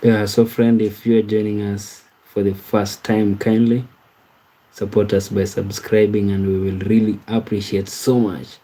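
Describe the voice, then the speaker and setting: Slow, low-voiced Kenyan-born man streaming live. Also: level tone, warm tone, poor audio. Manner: slow, halting, repeats words and restarts while reading comments aloud. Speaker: livestream host reading viewer comments. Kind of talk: casual live-stream chat.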